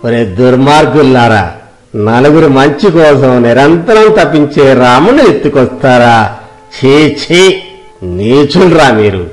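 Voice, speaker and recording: A man's voice: medium pitch, expressive, measured pace, faint music behind, lightly acted, poor audio. A man voicing a loyal, boastful reformed bandit with an emphatic, righteous manner in a moral story. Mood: indignant scolding.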